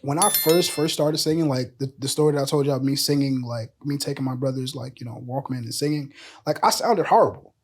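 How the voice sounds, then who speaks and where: A man on a casual podcast, calmly explaining his views with everyday examples and a lot of "like" and "you know". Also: mid-range voice, brisk, slight background noise. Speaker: podcast cohost.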